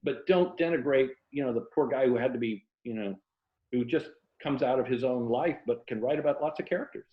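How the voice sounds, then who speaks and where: A man's voice: medium pitch, brisk. An older American man speaks as a guest in a casual literary interview. He thinks aloud in a conversational way. The sound is slightly dull, with noticeable room echo. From Tampa, Florida, United States. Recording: muffled, echoey room audio.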